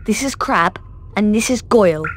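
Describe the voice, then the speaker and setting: A snobbish English schoolboy bully played by a woman in a wizard game. The voice is mid-pitched for a woman, haughty and drawling, with a mocking sneer. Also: expressive, moderate pace, a little hiss or hum, lightly acted.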